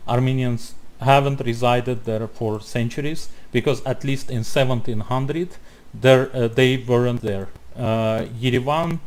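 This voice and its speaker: A man's voice: mid-range voice, unhurried, darkish tone. Blunt man. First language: not English. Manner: blunt, heated, interrupting, many restarts. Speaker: reaction video commentator. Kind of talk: commentary over a played video.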